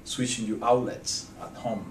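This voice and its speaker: A man with a Cuban Spanish accent, a handyman answering interview questions in a halting way, full of fillers and restarts. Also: medium pitch, steady tone. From Cuba. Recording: roomy, distant mic, ambient noise.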